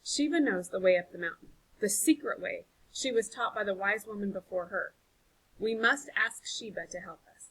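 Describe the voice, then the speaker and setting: A woman voicing a firm, sensible village weaver in a storybook, speaking with steady resolve. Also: medium pitch, normal speed, husky, low-quality recording.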